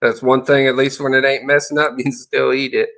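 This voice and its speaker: A man hosting a casual livestream, reading viewer comments aloud in a relaxed, drawling way. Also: medium pitch, fairly quick, vocal fry. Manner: laid-back, drawling, reads chat aloud. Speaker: livestream host.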